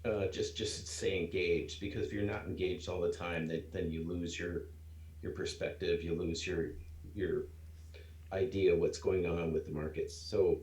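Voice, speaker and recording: A man's voice: deep voice, fairly even intonation, normal speed, darkish tone, roomy sound. A man in his sixties, a trader in a mentoring program, chatting in an easygoing, rambling way. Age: 60s. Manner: easygoing, rambling, laughs at himself. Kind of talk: casual chat.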